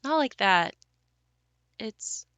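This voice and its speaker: A woman's voice: fairly high pitch, lively intonation, very slow, a bit bright, no room sound, heavily acted. A woman acting a wistful, reflective grown daughter haunted by her ruined childhood home in an audio play. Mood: uneasy, searching.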